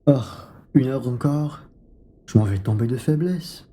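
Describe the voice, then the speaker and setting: A man acting a hungry, dark-voiced bourgeois husband. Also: medium pitch, unhurried, close to an everyday voice. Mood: famished complaint. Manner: exclamatory, familiar. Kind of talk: French radio play acting.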